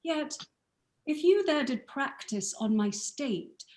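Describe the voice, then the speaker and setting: Woman doing proud guarded emperor, radio play shakespeare, kinda high voice, formal and measured. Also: lively intonation, darkish tone, reverberant. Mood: stern, accusing. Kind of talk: live radio play acting in Shakespearean verse.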